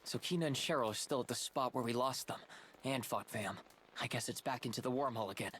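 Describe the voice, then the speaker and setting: A man's voice: medium pitch, fast talker, fairly bright tone, slight background noise, close to an everyday voice. A man voicing an easygoing, curious young hero in a video game, with a casual, questioning manner. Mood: resolved. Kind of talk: scripted video game voice acting.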